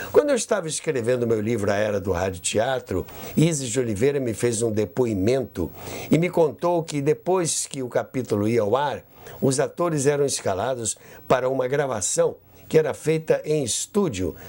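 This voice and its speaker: A man with a Brazilian accent hosting a history program, narrating a scripted account in a measured, emphatic storyteller's way. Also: mid-range voice, darkish tone. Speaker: host of a radio history show.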